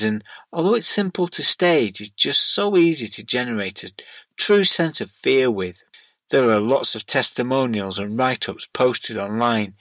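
A man's voice: mid-range voice, fairly bright tone, dry recording. A middle-aged man reading scripted narration and listener letters aloud as a podcast host.

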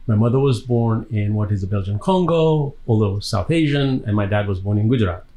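A man in his sixties from Tanzania, an interview guest, telling personal stories conversationally with reflective, hesitant pauses. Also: on the low side, animated, mellow. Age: 60s.

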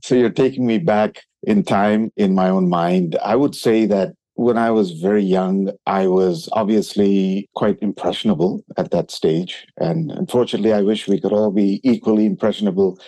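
A man from Thailand and Switzerland speaking reflectively as an interview guest, with articulate, measured and formal phrasing. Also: lowish voice, mellow. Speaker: podcast guest, sustainability advisor.

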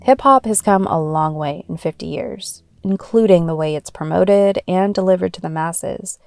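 A woman's voice: fairly low pitch, moderate pace, gravelly, a little mellow, no echo, soft background music. An American woman from the San Francisco Bay Area speaking as the host of a radio podcast. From San Francisco Bay Area, United States. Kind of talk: scripted narration and interview.

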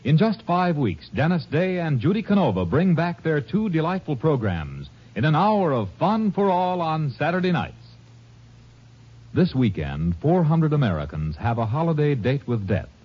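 A man with a husky voice making lively promotional announcements on 1950s network radio. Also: fairly high pitch, moderate pace. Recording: dull 1950s radio broadcast.

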